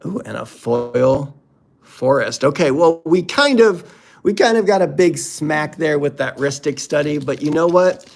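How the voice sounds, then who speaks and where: A man's voice: on the high side, expressive, a little slow, gravelly. A man hosting a casual unboxing commentary, talking in a playful, jokey, exclamatory way. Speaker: host opening card packs.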